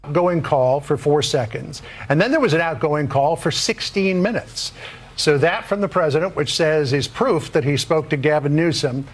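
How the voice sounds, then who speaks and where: A man's voice: fairly high pitch, normal speed. A man reporting live as a television news journalist.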